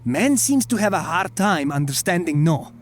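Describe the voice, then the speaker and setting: A man's voice: high voice, lively intonation, normal speed, background noise. An actor playing a young, angry male soldier in an audio drama, speaking curtly and indignantly. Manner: curt, indignant.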